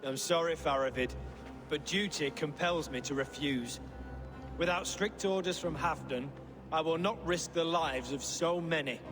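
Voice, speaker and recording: Brief: man voicing a wry, evasive puppet king, slightly high voice, long reverb. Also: unhurried, music in the background, close to an everyday voice. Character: sly scheming middle-aged Saxon puppet king. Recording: game audio with long reverb.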